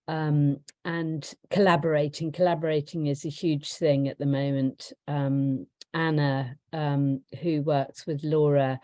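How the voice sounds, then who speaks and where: Croaky woman hosting a webinar, low mellow voice, lots of ums, dry sound. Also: dry recording. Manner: hesitant, many ums, walking through slides. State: croaky voice, clearing throat. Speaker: webinar host for a holiday rental business. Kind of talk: presenting a business webinar.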